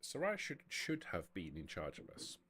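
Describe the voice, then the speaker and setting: A man playing a cautious vampire retainer in a tabletop game, speaking formally in measured, halting phrases. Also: sits low, wildly swooping pitch, a bit bright, slightly rough, heavily acted. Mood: grave, careful.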